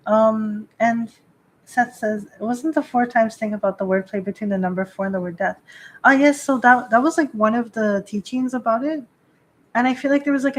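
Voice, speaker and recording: A woman's voice: mid-range voice, rough recording. A woman priestess hosting a casual live stream, rambling with many fillers and frequent small laughs. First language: not Japanese.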